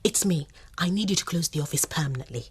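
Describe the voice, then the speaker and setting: An actress in a radio drama playing a brisk, resourceful woman fraudster on the run. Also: deep voice, slightly rough. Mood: urgent, businesslike.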